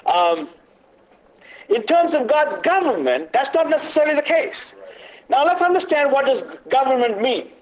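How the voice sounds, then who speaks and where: A man leading a church workshop talk, loud but not booming, halting with many fillers and quizzing the audience. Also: very animated. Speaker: workshop teacher. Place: meeting room, away from the podium. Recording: dull lo-fi recording.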